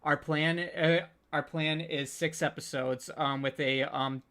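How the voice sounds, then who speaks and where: A host chatting casually on a live stream, halting and hesitant, often repeating words before getting to the point. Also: medium pitch, fairly even intonation, fairly bright tone. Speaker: panel host.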